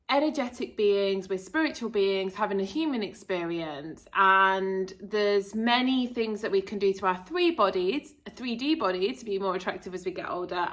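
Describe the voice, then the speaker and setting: A woman's voice: medium pitch, a bit bright. A woman hosting her own channel, talking casually and earnestly to camera about spiritual self-improvement, rambling a little with fillers and self-corrections. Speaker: channel host.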